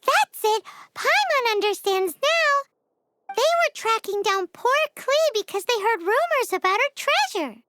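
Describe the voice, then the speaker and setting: A woman voicing a tiny floating fairy companion in a video game, childlike, cheeky and excitable. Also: a little slow, heavy background noise, heavily acted. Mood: excited, scheming. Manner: squeaky, refers to self in third person. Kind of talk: animated video game voice acting.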